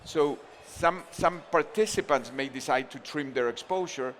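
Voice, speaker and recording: A man's voice: medium pitch, normal speed, slight background noise. A man from Argentina speaking on a conference panel, thinking aloud with frequent restarts and fillers.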